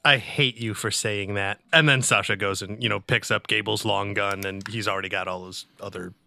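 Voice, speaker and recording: Male tabletop player, gravelly fry with a bright edge, casual improvised role-play. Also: on the low side, fairly even intonation, moderate pace, a little hiss or hum.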